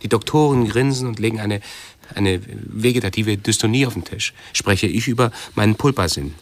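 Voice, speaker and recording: An actor playing an anxious, self-absorbed hypochondriac nephew in a radio drama, speaking in a halting, pedantic way and correcting himself. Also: male voice, fairly low pitch, lightly acted. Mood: aggrieved.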